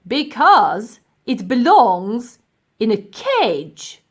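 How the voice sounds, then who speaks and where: A woman voicing a cold-hearted, gloating old male professor in a children's audiobook, with pompous, emphatic, drawn-out delivery. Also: fairly high pitch, sing-song, slightly rough, full character voice. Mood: stern, lecturing. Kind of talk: children's audiobook character acting.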